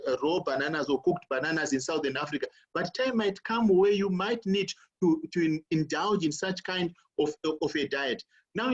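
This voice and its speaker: A man from Zimbabwe, a professor speaking on a panel, who talks haltingly and often repeats words as he searches for them. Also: a little high, breathy edge, muffled recording. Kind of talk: panel discussion talk on video call.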